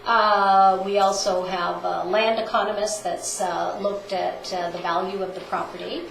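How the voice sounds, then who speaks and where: A woman giving a formal presentation to a town council, measured and speaking with frequent pauses and fillers. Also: medium pitch, steady tone, vocal fry. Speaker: health organization presenter. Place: council chamber. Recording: roomy chamber audio with faint noise.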